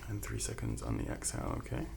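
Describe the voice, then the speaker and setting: Hushed, very creaky man in ASMR doctor roleplay. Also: lowish voice, huge pitch swings, background noise. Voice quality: soft, hushed, at times whispered. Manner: gentle, reassuring, unhurried bedside talk. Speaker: ASMR performer playing a doctor. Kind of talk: softly spoken ASMR medical roleplay.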